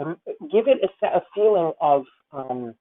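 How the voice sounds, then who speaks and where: A woman's voice: sits low, lively intonation, normal speed. Effusive woman in her fifties on a tinny call. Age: 50s. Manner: effusive, rambling, calls people honey. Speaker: voice teacher. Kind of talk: masterclass coaching. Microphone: video call. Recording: tinny video call audio.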